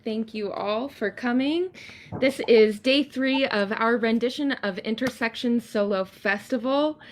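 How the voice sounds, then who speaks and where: A woman with a fairly high voice speaks as a festival host on a live stream. She gives an informal welcome, addressing the viewers directly as she offers thanks and announcements. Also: unhurried, slight background noise.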